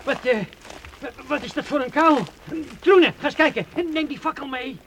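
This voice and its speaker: A man's voice: extremely high pitch, normal speed. An actor playing a timid, stammering servant who answers his knight meekly in a radio play. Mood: nervous. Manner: hesitant, stuttering, deferential. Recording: loud hiss on an old tape.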